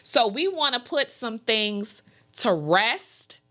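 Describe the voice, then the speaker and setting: A woman's voice: on the high side, very animated, takes it slowly, pushed and bright. An American woman hosting a casual interview, playful and teasing in an upbeat way. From United States. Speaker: podcast host.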